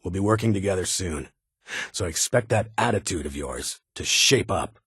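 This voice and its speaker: A man's voice: low voice, animated, normal speed, a bit bright, breathy edge, no echo, clean studio sound, heavily acted. A man playing a stern, guarded military security chief in a video game, with a clipped, authoritative and brusque manner. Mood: curt, commanding. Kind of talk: video game character dialogue.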